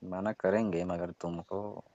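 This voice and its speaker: A man's voice: sits low, fairly even intonation, slow pace, dark tone, dry recording. A man, speaking in a pressuring, domineering way in a private phone conversation. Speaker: employer on a call with worker. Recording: muffled phone call recording.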